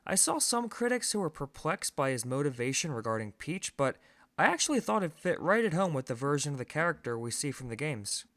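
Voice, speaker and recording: A man's voice: a little high, big pitch swings, a little fast, a bit bright. A man giving a scripted film review as host, conversational and opinionated, with emphatic stresses.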